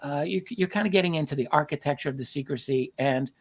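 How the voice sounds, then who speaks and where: A man hosting a conversational interview, with halting, measured phrasing. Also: on the high side. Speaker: talk show host, interviewer.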